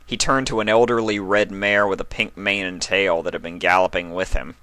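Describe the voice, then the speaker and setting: A man narrates a scripted story in a dramatic reading style. His voice is on the low side, with a bright, forceful tone. The recording is close and dry, with little room sound. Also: fairly even intonation, brisk, dry recording. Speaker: fan fiction reader, narrator.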